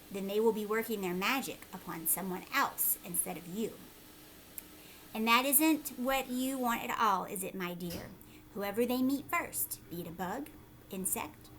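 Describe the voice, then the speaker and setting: A woman voicing a peculiar, secretive old man from a storybook, speaking with urgent emphasis and sometimes a hush. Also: a little high, a little hiss or hum, low-quality recording. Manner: urgent, emphatic, hushed at times.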